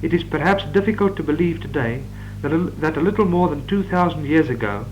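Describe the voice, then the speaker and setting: A man, reading out law course notes in a measured, dictating manner with occasional stumbles. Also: fairly high pitch, lively intonation, muffled recording. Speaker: law lecturer. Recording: old reel-to-reel tape with hum.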